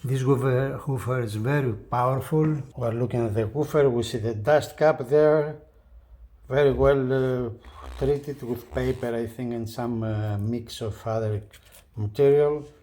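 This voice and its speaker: A man who is not a native English speaker presents a product unboxing casually, speaking in short, halting phrases. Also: mid-range voice, steady tone, warm tone. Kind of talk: casual unboxing demonstration talk.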